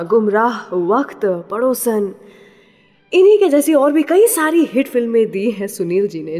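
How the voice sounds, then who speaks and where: A woman's voice: mid-range voice, darkish tone. A woman with an Indian accent hosting a scripted radio show, speaking straight to the listeners. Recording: long hall-like reverb.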